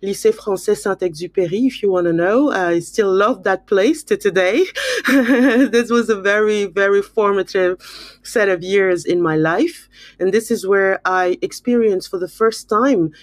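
A woman's voice: mid-range voice, measured pace, dry recording. A middle-aged woman from Cameroon talking reflectively and candidly about her own life as an interview guest. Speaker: interview guest, school principal. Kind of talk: conversational interview, personal recollection.

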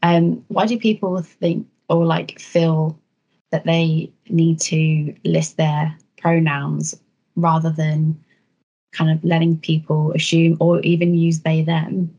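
A woman hosting a panel discussion, reading out audience questions in a measured, questioning way. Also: low voice, level tone, mellow, husky. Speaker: host. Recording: dull lo-fi sound.

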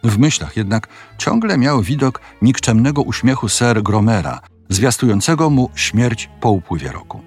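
A man narrating a scripted fairy tale in a measured, dramatic storytelling manner. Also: medium pitch, big pitch swings, darkish tone, soft background music.

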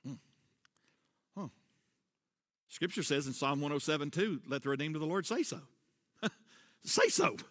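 High, bright-voiced pastor preaching. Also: male voice. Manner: preaching with emphatic rises, loud repeated refrains. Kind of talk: sermon. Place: church sanctuary. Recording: long church reverb.

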